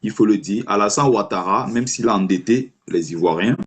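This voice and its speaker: A man hosts a live stream and argues his opinions point by point, often turning to speak straight to the listener. His voice sits low and has a husky, breathy edge. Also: moderate pace. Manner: argumentative, rhetorical, addresses listener directly. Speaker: livestream host taking callers.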